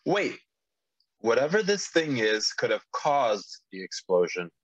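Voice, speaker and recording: A man playing a theatrical, cautious fire-wielding wizard with deliberate delivery in an animated fantasy table read. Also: medium pitch, dry recording, dull audio. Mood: measured caution.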